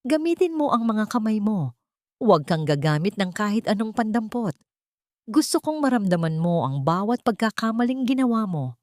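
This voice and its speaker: A woman's voice: mid-range voice, very animated, normal speed, a little mellow, dry recording, studio quality, pitched above the performer's own voice, natural voice. Stella, a haughty rich woman read by a female narrator. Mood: cruel, scornful. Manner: harsh, contemptuous commands. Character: cruel, arrogant rich young woman, a fiancée.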